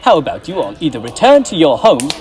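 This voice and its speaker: A young man playing a grumpy, miserly old man on a school stage, scolding in sharp outbursts. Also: a little high, wildly swooping pitch, quick pace, soft background music. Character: miserly, grumpy old man who later turns fearful. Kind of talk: school stage play acting.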